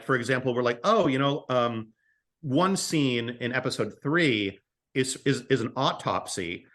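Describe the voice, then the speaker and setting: A man's voice: mid-range voice, fairly bright tone. A man in his late thirties talking casually as an interview guest about his creative work. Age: late 30s. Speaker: podcast guest, audio drama writer.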